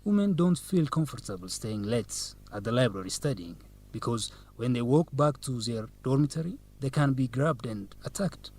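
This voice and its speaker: Guy acting a confident young law student, medium pitch, polite but teasing, radio drama style. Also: lively intonation, normal speed, darkish tone, lightly acted. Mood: earnest. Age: young adult.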